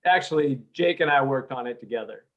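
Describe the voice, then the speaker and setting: A man's voice: mid-range voice, brisk, fairly bright tone, lightly acted. Eager young guy acted by a man, muffled sound. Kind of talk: screenplay table read. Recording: dull lo-fi sound.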